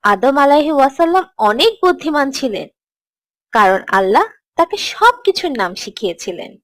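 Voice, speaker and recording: A woman playing a gentle, encouraging teacher who tells scripted religious stories to children in a cartoon. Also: high voice, big pitch swings, moderate pace, dry recording. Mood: narrating, instructive. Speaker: storyteller, teacher.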